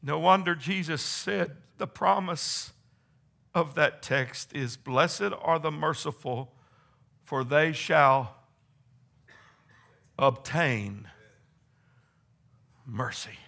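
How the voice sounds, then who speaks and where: High, bright-voiced preaching man. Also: extremely slow, gravelly, pauses often. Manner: preaching with rising fervor, exclamations and call-and-response. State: voice strained from preaching. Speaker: pastor. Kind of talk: sermon.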